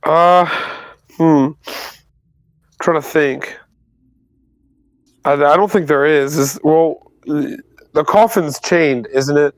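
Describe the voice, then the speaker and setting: A man playing in a tabletop roleplay game, asking eager, halting questions. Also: fairly high pitch, no echo, low-quality recording. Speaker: player. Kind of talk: casual tabletop game play.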